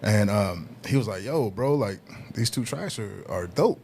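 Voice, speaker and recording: Man, deeper voice, laid-back storyteller, casual podcast chat, faint background noise. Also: animated, unhurried, darkish tone. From Southern California. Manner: laid-back, peppered with "you know what I mean", laughs as he tells it. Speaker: guest hip hop artist.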